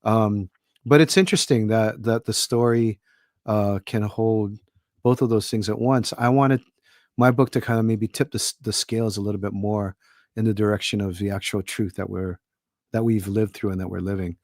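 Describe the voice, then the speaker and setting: A man's voice: lowish voice, steady tone, moderate pace, a little mellow, no echo, clean studio sound. A middle-aged man from Hawaii, an author guesting on a podcast, talking in a thoughtful, rambling way with many fillers. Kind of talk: casual podcast conversation.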